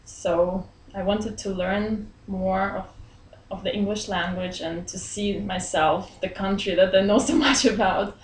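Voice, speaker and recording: Belarusian woman in her thirties, roomy office. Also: medium pitch. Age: 30s. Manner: friendly, laughs often, pauses to search for words. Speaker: interviewee. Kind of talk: casual interview chat. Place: small office indoors. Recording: roomy echo, faint room hum.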